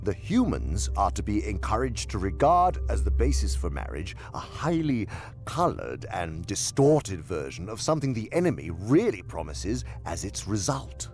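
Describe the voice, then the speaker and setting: An imperious old-guard demon for audio theater. The voice is deep and creaky, with wide theatrical swings. He lectures slyly and pompously, relishing his words, over prominent music. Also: sing-song, normal speed, a little mellow. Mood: sly instructing. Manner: pompous, theatrical, savoring words with dramatic emphasis. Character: senior male demon, sly, imperious mentor in temptation. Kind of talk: theatrical radio drama dialogue.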